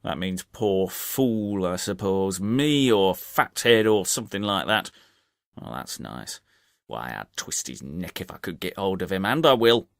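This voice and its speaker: A man voicing a blunt, hot-tempered Victorian pub landlord in an audiobook, with gruff, colloquial speech. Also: low voice, animated, unhurried, fairly bright tone, no echo, studio quality, exaggerated voice. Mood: bitter, outraged.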